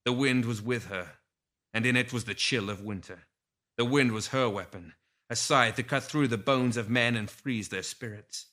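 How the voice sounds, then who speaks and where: A man's voice: mid-range voice, moderate pace, pushed and bright. A man reading scripted fiction aloud as a storyteller, with a theatrical, suspenseful delivery. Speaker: story reader. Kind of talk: scripted dramatic fiction narration.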